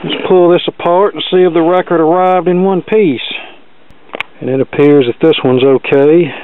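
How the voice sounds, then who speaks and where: A man's voice: fairly high pitch, fairly even intonation, normal speed, narrow, tinny audio, slight background noise. A man hosting a casual unboxing video, giving practical advice in a plainspoken, folksy, matter-of-fact way. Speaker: video host, record collector.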